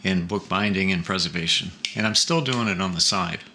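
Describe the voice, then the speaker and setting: Older man, bright lowish voice. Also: brisk, slight background noise. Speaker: musical guest, blues guitarist. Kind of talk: casual interview chat.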